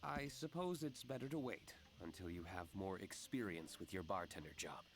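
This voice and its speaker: A man playing a composed, courteous young tavern owner in a video game, measured and reserved. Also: sits low, lively intonation. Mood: reserved, gracious. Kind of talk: video game character acting.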